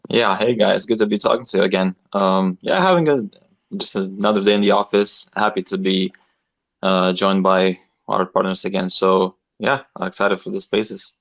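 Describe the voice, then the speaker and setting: Very deep voiced biz dev guy, short upbeat hello, sounds a bit far off. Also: steady tone, moderate pace. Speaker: business development representative. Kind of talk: casual chat.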